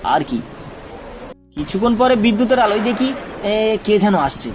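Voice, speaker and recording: A man's voice: sits high, animated, moderate pace, music in the background. A man reading a scripted ghost story as a dramatic narrator.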